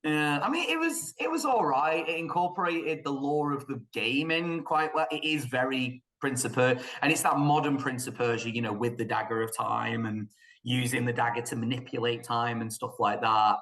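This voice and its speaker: A man giving opinions in a casual film discussion, animated and joking, sometimes slipping into mock quotes. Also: medium pitch, moderate pace. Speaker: podcast panelist reviewing films.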